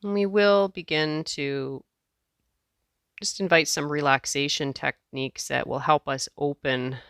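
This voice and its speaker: Clear-voiced woman guiding a meditation. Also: extremely low pitch, fairly bright tone, no echo, clean studio sound. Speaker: meditation teacher. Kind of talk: guided meditation.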